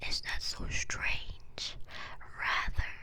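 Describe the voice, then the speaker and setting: A woman voicing a commanding, possessive female vampire mistress in a binaural ASMR audio roleplay, speaking slowly and domineeringly, often whispering close to the ear. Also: pushed and bright, exaggerated voice. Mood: whispered, seductive. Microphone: binaural microphone.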